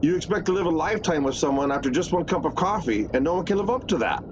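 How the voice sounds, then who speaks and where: A man's voice: on the high side, expressive, quick pace, lightly acted. A man playing an earnest, hesitant employee secretly in love with his boss in a romantic comedy radio play. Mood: frustrated, earnest. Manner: earnest, sputtering when flustered. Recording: muffled, noisy recording.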